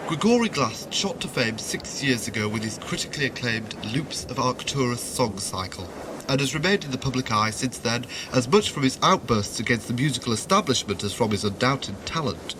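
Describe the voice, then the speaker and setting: A man's voice: mid-range voice, expressive, moderate pace, close to an everyday voice. A man playing a formal, ceremonious announcer covering a gala concert live in a science fiction audio drama. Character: formal broadcast announcer of a far-future concert. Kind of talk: formal live-broadcast commentary. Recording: noisy amateur audio drama recording.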